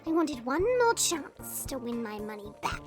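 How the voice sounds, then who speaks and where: A woman playing a sly, theatrical goblin witch in a fantasy audio roleplay, with coy, drawn-out delivery. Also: high-pitched, animated, faint music behind. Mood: rueful, grumbling. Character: sly, theatrical female goblin witch, weepy when slighted.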